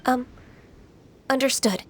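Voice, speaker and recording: Gentle, dutiful young immortal trainee. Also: female voice, takes it slowly, a little hiss or hum. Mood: earnest, hesitant. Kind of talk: video game voice acting.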